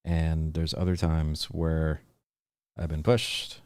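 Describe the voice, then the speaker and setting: A man's voice: rumbling low, little pitch movement, slow, a little mellow, smooth, dry recording, clean studio sound. A man hosting his own podcast livestream, chatting casually and rambling with lots of fillers and restarts. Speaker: podcast host.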